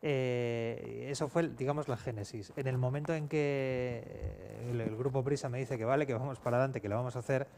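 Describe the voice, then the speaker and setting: A man with an accent from Spain speaking unscripted on a panel in a halting, self-correcting way, as an audio fiction writer. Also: medium pitch, steady tone, darkish tone. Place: public round-table event. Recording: clear background noise, live event ambience.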